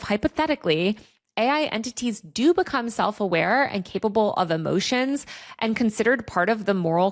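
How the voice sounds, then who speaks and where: Female video essayist, mid-pitched voice. Conversational explainer read, wry and opinionated, casual asides. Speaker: video essayist, online culture commentator.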